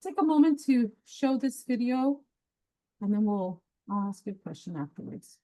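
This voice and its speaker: A woman's voice: a little high, expressive, normal speed. Chatty Peruvian-born woman presenting online. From Peru. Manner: warm, chatty, with laughs and filler words. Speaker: webinar presenter. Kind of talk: casual webinar presentation. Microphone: video call.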